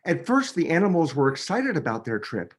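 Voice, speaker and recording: A man, a children's book author, reading a picture book aloud with expressive, dramatic emphasis. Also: fairly high pitch, brisk, breathy edge. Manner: expressive, dramatic delivery with emphasis on key words.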